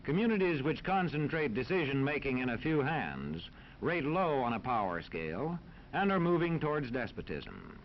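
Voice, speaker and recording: American guy doing formal 40s educational film narration, mid pitch, muffled with a bit of hiss. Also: sing-song. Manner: formal, authoritative, measured. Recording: dull 1940s film soundtrack, faint noise.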